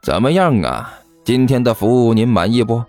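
A man's voice: medium pitch, animated, normal speed, darkish tone, faint music behind, natural voice. A man voicing a grinning, ingratiating man on the phone in an audiobook, servile and teasing. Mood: oily amusement. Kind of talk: audiobook character acting.

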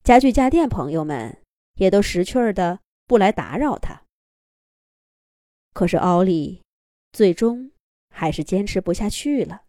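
A woman's voice: mid-range voice, animated, a little slow, pauses often, mellow, no echo, studio quality. A woman narrating a children's fairy tale expressively from a script, as a storyteller.

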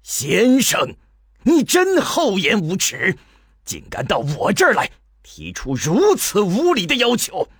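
A man's voice: high-pitched, wildly swooping pitch, slow, a bit bright, breathy edge, no echo, studio quality, full character voice. A man playing a proud, angry old astronomer and university president in a theatrical, emphatic radio drama style. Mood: furious, indignant. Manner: theatrical, indignant, emphatic delivery. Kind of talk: Chinese radio drama acting. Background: music.

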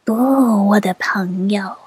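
A man voicing a sly, menacing weasel in a children's audio drama, with a harsh, hissing, whispery voice and slow, drawn-out delivery. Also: high-pitched, slightly rough, dry recording, full character voice. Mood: sly menace. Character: sly menacing male weasel predator, hungry for rabbits.

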